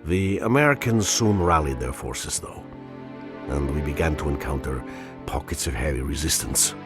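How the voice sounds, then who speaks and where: Extremely low voice, sombre Soviet war veteran, measured game narration, music behind. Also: male voice, expressive. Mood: sombre, reflective. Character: weary, reflective Soviet officer recounting a war. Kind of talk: scripted narration for a video game.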